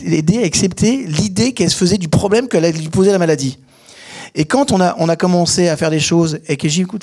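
A man talks on a panel in a small theater, holding a mic close. His voice sits a bit high for a man. He gestures and talks straight to the audience. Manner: animated, gesturing, addresses the audience directly. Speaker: speaker on a panel. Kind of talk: live talk before an audience. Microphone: handheld mic.

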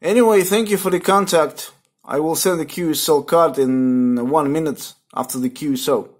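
A man from Moscow with a Russian accent, speaking haltingly as an amateur radio operator and spelling out call signs in the phonetic alphabet. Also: a little high, slightly rough. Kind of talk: ham radio contact chat, on-air call signs. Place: indoors at a computer.

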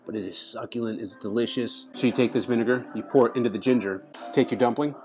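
A man's voice: mid-range voice, fast, a little mellow. A man in his thirties reviewing food to camera at a restaurant table, eager and chatty, often restarting his phrases between bites. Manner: enthusiastic, restarts phrases while tasting. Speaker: food vlogger. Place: indoor restaurant dining room. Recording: slightly dull sound, faint music behind.

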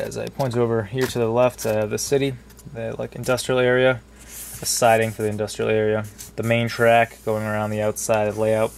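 A man's voice: medium pitch, creaky, a little hiss or hum. A young man with a stuffy cold, casually narrating a hobby video in a basement, rambling with lots of fillers. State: congested from a cold. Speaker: model railroad hobbyist.

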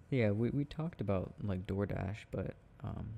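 Sweet, shy young boyfriend played by a male actor for ASMR roleplay: soft, tender, halting, slow and mellow, close-miked. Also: fairly low pitch, gravelly, heavily acted. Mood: shy, hesitant.

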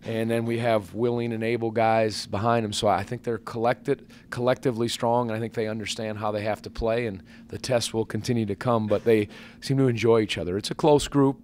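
A man in his forties, a basketball head coach answering questions at a postgame press conference into a table microphone, measured and earnest with many fillers and restarts. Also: fairly low pitch, fairly even intonation, darkish tone, a little hiss or hum. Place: press conference room with sponsor backdrop.

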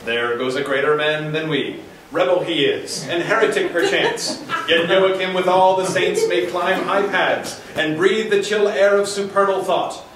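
A man's voice: high voice, fairly even intonation, a bit bright. Man reading as a solemn churchman, very echoey. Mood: solemn, insistent. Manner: measured, formal declamation. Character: stern, solemn churchman upholding law in verse play. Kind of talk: dramatic reading of archaic verse. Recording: echoey conference room, distant, faint noise.

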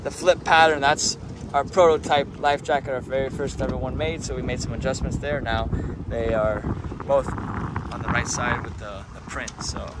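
A young man casually narrating a fishing and dog outing from a small boat, chatty and relaxed. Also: medium pitch, moderate pace. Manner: relaxed, chatty, talking to his dogs. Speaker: fishing vlogger. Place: small open boat on the water outdoors. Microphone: action camera on the boat. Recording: wind and boat engine noise.

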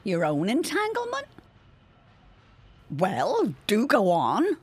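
Actress as an expressive, folksy grieving widow. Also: female voice, mid-range voice, slow pace, husky, no room sound, full character voice. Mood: astonished. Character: widowed mother, plain-spoken, grieving, folksy. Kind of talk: audio drama acting.

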